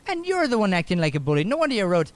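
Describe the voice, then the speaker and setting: A man's voice: sits high, wildly swooping pitch, very fast. A man playing a flustered, scolding Irish schoolteacher in improvised radio comedy, repeating himself and tripping over his words. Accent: Irish, put on. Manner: stammering, repetitive, exasperated. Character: flustered, scolding male schoolteacher, defensive and obsequious.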